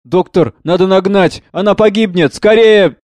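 A man's voice: high voice, normal speed, darkish tone, no echo, pitched above the performer's own voice, close to an everyday voice. A man playing an impassioned, solemn father in a stage drama, declaiming with emphatic repetition. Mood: alarmed, urgent. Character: impassioned father, consoling visionary, solemn and fervent.

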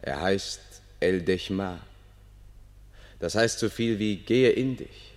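An actor playing a solemn, deferential guide to a ruler in a German radio play, speaking in a measured, formal way. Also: male voice, low voice, soft background music. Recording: radio play with long reverb.

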